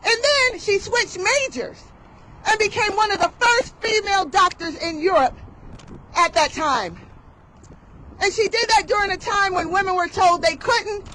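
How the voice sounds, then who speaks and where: A woman's voice: extremely high pitch, sharp tone, slight background noise, rough recording. A woman giving an outdoor motivational rally speech, leading call-and-response with rhythmic, emphatic, rhyming delivery. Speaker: rally speaker.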